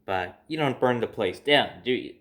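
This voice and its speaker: A man's voice: medium pitch, lively intonation, moderate pace, fairly bright tone. A man telling personal stories casually to his viewers, in a conversational way, acting out his reactions as he goes. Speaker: storyteller hosting own video series.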